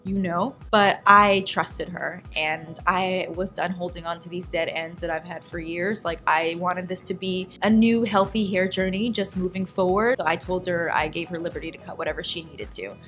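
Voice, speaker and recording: A woman's voice: lowish voice, fairly even intonation, normal speed, hardly any pauses, a bit bright, soft background music. Chatty young American woman vlogger. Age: young adult. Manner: chatty, playful, humorous, conversational. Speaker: vlogger reviewing a hair salon visit. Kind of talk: casual vlog narration to viewers.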